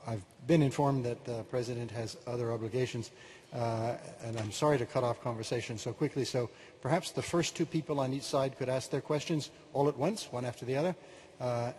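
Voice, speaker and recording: A man moderating a university forum, making brisk, apologetic announcements to the audience. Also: mid-range voice, a little mellow, a little hiss or hum. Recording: reverberant hall with faint crowd ambience.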